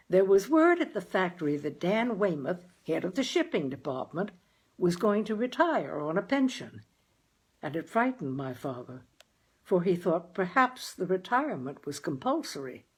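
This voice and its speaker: Female story reader and host: husky tone, very lively intonation, expressive literary narration. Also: lowish voice.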